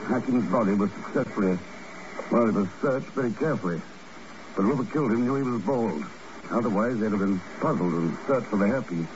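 An actor playing a cool, unflappable secret agent in a 1950s radio drama, calm, dry and understated. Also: male voice, fairly low pitch, fairly quick, lightly acted. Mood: calm, probing. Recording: noisy muffled old radio recording.

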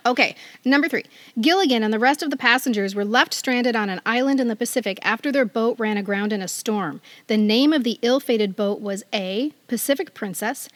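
A woman's voice: on the high side, a little fast, dry recording. A middle-aged woman co-hosting a podcast, chatting casually and reading aloud with a playful, theatrical quizmaster delivery. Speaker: podcast co-host. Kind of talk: casual chat, reading quiz questions aloud.

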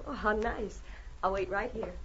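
A woman's voice: medium pitch, fairly even intonation, moderate pace, lightly acted. An actress playing a sweet, trusting, anxious young frontier woman in a 1940s radio drama. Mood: pleased. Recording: noisy, dull old radio recording.

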